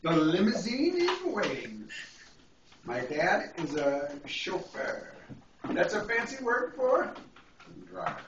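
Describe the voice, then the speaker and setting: A man's voice: high voice, wildly swooping pitch, slow pace, fairly bright tone, recorded from a distance. A man reading a picture book to a small child, playfully drawing out words and adding asides. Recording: echoey, noisy, muffled home video from afar.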